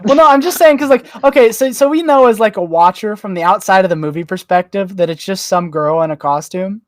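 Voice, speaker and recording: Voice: mid-range voice, a little fast, dry recording, studio quality. A podcast panellist chatting casually, insistent and ready to argue a point. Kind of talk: casual chat.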